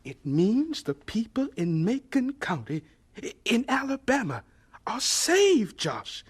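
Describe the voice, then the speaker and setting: An actor playing a gentle, devout older professor in a radio drama, with a thin, breathy voice and a stammering, reverent manner. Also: male voice, very high voice, huge pitch swings, heavily acted. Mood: excited, reverent. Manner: halting, stammering, slow and reverent.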